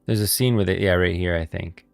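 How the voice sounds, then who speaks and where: A man with a low voice talks casually through game lore for a stream. The sound is dry and close, with music playing behind him. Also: fairly even intonation, a little fast, creaky, dry recording. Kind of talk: casual livestream lore commentary.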